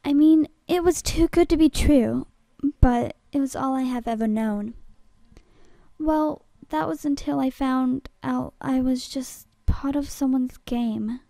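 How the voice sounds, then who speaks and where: A woman acting a bewildered, defensive girl in an amateur audition for a drama series, with dramatic, deliberate delivery. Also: high voice, gravelly, dark tone, dry recording, full character voice. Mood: wistful.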